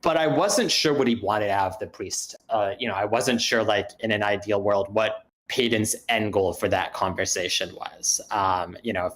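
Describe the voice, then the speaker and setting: Guy with a lowish voice giving notes on a script, kinda hesitant, rewording himself as he goes. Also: moderate pace, few pauses, a bit bright. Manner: hesitant, circling back to rephrase points. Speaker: participant giving script feedback. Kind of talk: spoken critique in an online group discussion.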